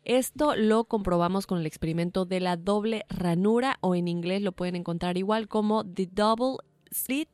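Mexican woman cohost, casual explainer, laughs easily, medium pitch, dry close mic. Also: moderate pace, darkish tone, no room sound. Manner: chatty, laughs easily, restarts words. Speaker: podcast co-host.

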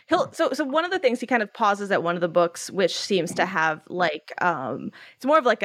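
A woman's voice: fairly low pitch, fairly bright tone. A woman running a tabletop game as game master, narrating casually with hesitant, filler-heavy speech.

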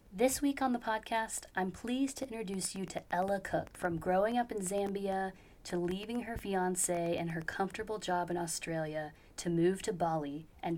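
A woman hosting a travel podcast, asking questions in an upbeat, enthusiastic way. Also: lowish voice. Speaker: podcast host. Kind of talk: podcast interview. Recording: intro with soft guitar music.

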